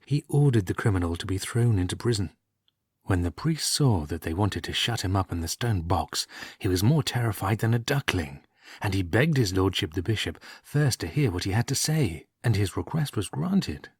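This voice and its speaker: Male storyteller, lowish husky voice, wry and measured audiobook read, close dry sound. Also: lively intonation, darkish tone, no echo. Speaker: audiobook reader. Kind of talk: storytelling narration of an old comic tale.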